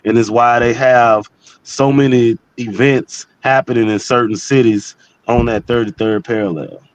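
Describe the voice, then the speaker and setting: A man's voice: mid-range voice, moderate pace, dry recording, poor audio. Man, casual panel talk, slangy, profane, loosely rambling, mid-range voice. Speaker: panel participant. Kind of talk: casual group debate chat.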